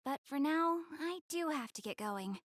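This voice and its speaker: A woman's voice: high-pitched, expressive, normal speed, dry recording. A woman voicing a shy, prideful young goddess girl in an English-dubbed anime game, flustered and earnest but a little haughty. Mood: bashful, affectionate.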